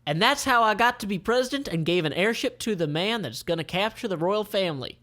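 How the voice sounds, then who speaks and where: A man doing a high, gleeful scheming villain. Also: lively intonation, brisk, fairly bright tone. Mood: boastful. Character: smug, gleeful male villain turned president, scheming.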